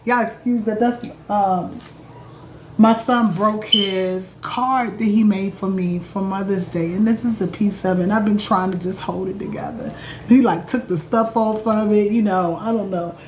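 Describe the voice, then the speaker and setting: A woman talking casually to her viewers about astrology from her home, chatty and animated, calling them y'all. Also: mid-range voice, moderate pace, noisy background. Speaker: astrologer and vlog host. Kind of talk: casual spiritual vlog talk.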